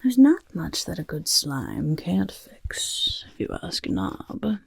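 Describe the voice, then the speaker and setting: Casting: female performer for a chaotic goblin overlord, ASMR roleplay; gravelly, breathy whisper, unhurried, self-important and fussy, very close and dry. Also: fairly low pitch, sing-song, a little mellow, no echo, heavily acted. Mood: soothing, matter-of-fact. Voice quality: breathy, croaky, raspy whisper. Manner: refers to self in third person, repeats words, fussing. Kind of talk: soft-spoken ASMR personal attention roleplay. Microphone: close, dry ASMR microphone.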